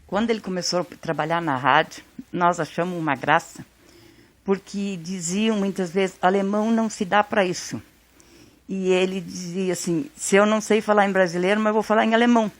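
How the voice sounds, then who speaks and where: Uma mulher do sul do Brasil relembrando o irmão numa entrevista, falando com calma e carinho. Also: female voice, mid-range voice, no room sound. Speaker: interviewee, sister. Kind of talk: interview recollection.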